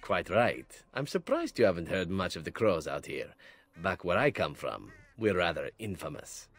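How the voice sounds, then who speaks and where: Suave male elf assassin, low animated husky voice, wry game character. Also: normal speed, no room sound, exaggerated voice. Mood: wry, composed. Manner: smooth-talking, playful, formal phrasing.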